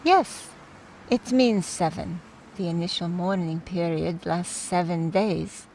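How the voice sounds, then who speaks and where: A woman acting in an audio drama as a wry, skeptical mourner, talking in a measured, conversational way over background noise. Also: lowish voice, gravelly, darkish tone, poor audio. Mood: explaining, wry.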